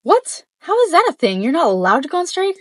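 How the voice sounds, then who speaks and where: A woman's voice: sits high, big pitch swings, fast talker. A woman giving casual, informal reaction commentary, exclaiming and repeating herself for emphasis. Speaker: reaction video host.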